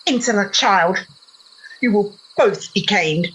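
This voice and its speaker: A woman's voice: mid-range voice, slow pace, fairly bright tone, slight background noise, rough recording. A young girl playing a stern, cruel schoolmistress in an amateur radio play, imperious and scolding. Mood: cold, severe. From Australia. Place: at home over a video call.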